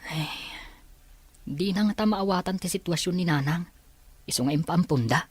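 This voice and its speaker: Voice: mid-range voice, normal speed, a little mellow, husky, no echo, natural voice. An actor playing a grown child who confides in family and friends in an Ilocano audio drama. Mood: surprised, hopeful.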